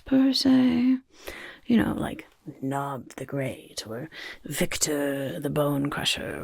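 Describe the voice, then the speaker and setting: A woman voicing a chaotic, eager little goblin overlord in a soft-spoken ASMR roleplay, with a creaky, raspy whisper and a fussy, repetitive manner. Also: medium pitch, no room sound, heavily acted. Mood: reassuring, inquisitive. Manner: speaks of self in third person, fussy, repeats words.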